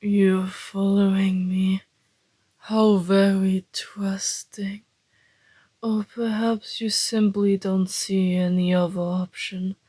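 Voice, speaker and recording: A woman acts out a flirtatious male vampire in an ASMR roleplay, predatory yet courteous. The voice is very slow and dark, dropping into breathy half-whispers with a teasing, deliberate delivery. It sounds close and dry. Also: medium pitch, little pitch movement, dry recording. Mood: amused, teasing. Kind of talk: ASMR roleplay, intimate scripted monologue.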